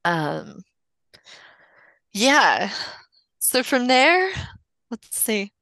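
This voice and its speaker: Gravelly-edged woman telling personal stories. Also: a little high, big pitch swings, drawn-out words, sharp tone, no echo. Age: 40s. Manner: candid, rambling, conversational. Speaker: podcast guest. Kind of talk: casual personal storytelling.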